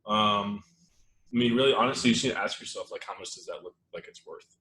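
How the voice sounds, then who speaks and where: Low-voiced man hosting a live webinar. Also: fast talker. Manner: relaxed, rambling, many fillers and restarts. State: losing his voice, clearing throat. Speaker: webinar host. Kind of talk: casual live webinar talk. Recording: echoey room with faint noise.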